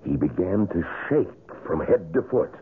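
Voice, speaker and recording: A man in a 1970s radio drama playing a grave, somber wax museum employee, with a husky, gravelly voice and a deliberate storytelling manner. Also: low-pitched, lively intonation, exaggerated voice. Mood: tense recounting. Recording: tinny, narrow-band old radio broadcast.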